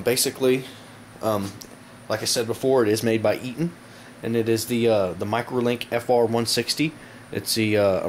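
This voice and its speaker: A man giving a casual hands-on product demonstration to viewers, informal and halting, with frequent pauses. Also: fairly low pitch. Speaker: product reviewer, video host. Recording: noisy amateur camera audio.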